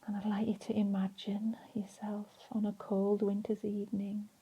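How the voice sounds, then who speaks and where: A woman leading a guided relaxation in a soft, breathy, soothing voice, leaving long pauses between phrases. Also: medium pitch, completely flat delivery, dull audio. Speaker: meditation and relaxation instructor.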